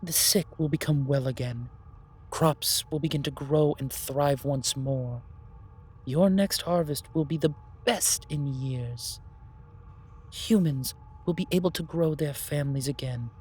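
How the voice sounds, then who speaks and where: A man's voice: on the high side, a little mellow, breathy edge. Man as stately, wise keeper of magic. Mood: reassuring gravity. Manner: formal, stately, deliberate pronouncements. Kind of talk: fantasy audio roleplay acting.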